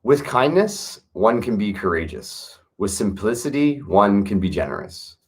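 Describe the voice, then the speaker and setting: A man reading an old wisdom text aloud in a measured, earnest way, with brief casual asides to his listeners. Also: fairly low pitch, big pitch swings. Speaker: reader of a classic text.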